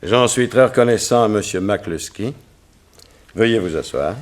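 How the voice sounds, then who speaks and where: A man's voice: lowish voice, expressive, unhurried. A man playing a middle-aged, troubled and dignified politician, in the formal, earnest manner of 1960s French radio drama. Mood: grave courtesy. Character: troubled, dignified politician father of a teenage son.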